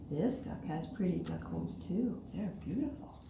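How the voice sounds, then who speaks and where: A woman hosting a library story time, reading a picture book aloud to small children in an animated, sing-song, questioning way. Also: lowish voice, gravelly. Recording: roomy echo, faint background noise.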